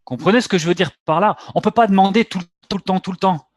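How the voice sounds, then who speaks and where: A man's voice: high-pitched, fast talker, no room sound. A man hosting a trading webinar, talking bluntly and lecturing his viewers directly. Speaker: webinar host.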